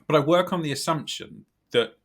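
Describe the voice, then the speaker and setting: A British man with a slightly scratchy, croaky voice, chatting casually as a podcast guest in a wry, self-deprecating, animated way. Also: on the high side, brisk. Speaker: podcast guest, recruitment company director. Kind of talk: casual chat.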